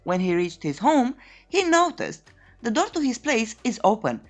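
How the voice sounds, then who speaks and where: A woman's voice: on the high side, very animated, moderate pace, soft background music. A woman reading a scripted story recap as a narrator, in a playful, tongue-in-cheek way. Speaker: narrator of a comic recap channel.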